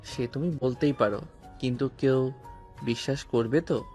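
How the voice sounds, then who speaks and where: A man plays a self-assured young policeman husband in an audio love story. His mid-range voice is deliberate and domineering, peppered with English phrases, over faint background music. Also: medium pitch, a little mellow, lightly acted. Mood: teasing, smug. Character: commanding, smooth-talking young policeman husband, possessive and teasing. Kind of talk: audio romance story acting.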